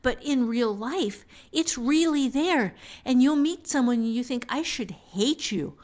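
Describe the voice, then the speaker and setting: A woman co-hosting a podcast, giving casual writing advice in a deliberate, explanatory way with emphatic pauses. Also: high voice, lively intonation, a little mellow. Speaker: podcast co-host.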